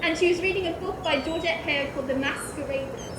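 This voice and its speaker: A woman playing a literal-minded teenage boy who speaks flatly and exactly, stammering when pressed. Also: very high voice, fast talker. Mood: recounting. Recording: echoey room with background noise.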